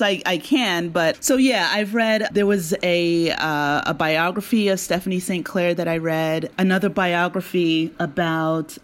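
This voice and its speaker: A woman speaking as an interview guest, a writer explaining her work conversationally and thoughtfully. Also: lowish voice. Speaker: guest, audio drama writer and creator.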